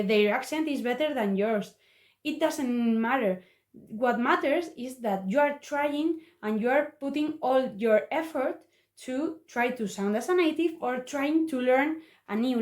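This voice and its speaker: A young woman with a Spanish accent gives an earnest, prepared talk in an echoey room. Her voice sits fairly high. She speaks slowly and haltingly, as a learner of English with Spanish as her first language. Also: a little mellow.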